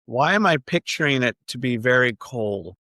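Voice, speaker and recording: A man's voice: medium pitch, animated, normal speed, pushed and bright, no room sound, studio quality. A man hosting a podcast, asking a guest friendly questions. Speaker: podcast host. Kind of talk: interview questions and casual chat.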